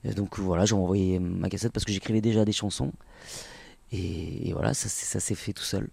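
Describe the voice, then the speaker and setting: Basque guy in his 40s, mellow low voice, lots of euh and restarts, dry sound. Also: steady tone, brisk, creaky, breathy edge, dry recording. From French Basque Country. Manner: hesitant, many fillers and restarts. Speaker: singer and actor guest. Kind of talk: relaxed interview talk.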